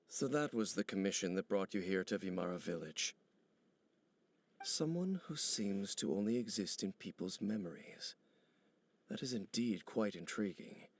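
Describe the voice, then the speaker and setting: An actor playing a solemn, brooding ancient warrior in a video game, speaking gravely and formally, embittered by old betrayal. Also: male voice, on the low side. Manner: grave, formal, measured speech. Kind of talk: video game character dialogue. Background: background music.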